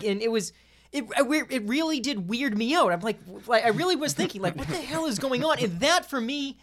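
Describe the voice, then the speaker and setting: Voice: mid-range voice, a bit bright. A speaker chatting casually about video games on a podcast in a rambling, stammering way, often repeating phrases.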